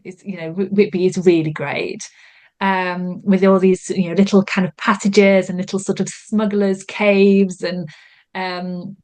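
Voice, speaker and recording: A woman's voice: on the low side, level tone, measured pace, darkish tone. A woman talks casually as a guest English teacher. She is enthusiastic and chatty, repeating phrases and leaning on fillers as she goes. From Yorkshire, England. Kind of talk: casual conversation.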